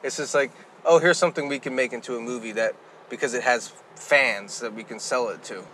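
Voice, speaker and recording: A man co-hosting a podcast, talking in a relaxed way and laughing between points. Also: mid-range voice, fairly quick, a little hiss or hum. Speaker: podcast co-host. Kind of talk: casual chat.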